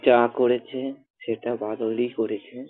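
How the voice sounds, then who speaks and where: A man's voice: medium pitch, flat delivery, a little slow. A young man on a phone call, defensive and dismissive, often cutting in with short replies. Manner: defensive, dismissive, repeats "ঠিক আছে". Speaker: caller in a phone argument. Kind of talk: phone argument. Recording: tinny phone call recording.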